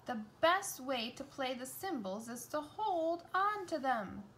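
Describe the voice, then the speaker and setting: A woman voicing a grumpy, bossy crab conductor from a storybook, loud and exasperated. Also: high voice, big pitch swings, unhurried, slight background noise, pitched above the performer's own voice, full character voice.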